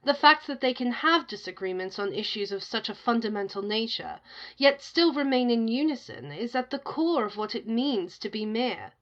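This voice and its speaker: A female narrator tells a scripted legend in a highish voice. The sound is a little dull, with the top end rolled off. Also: expressive, moderate pace, fairly bright tone. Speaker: narrator of a tale within the story.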